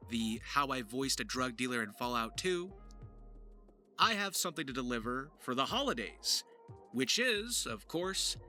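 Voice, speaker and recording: Bright, animated man narrating a video. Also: mid-range voice. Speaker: voice actor and video creator. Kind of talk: upbeat scripted video narration.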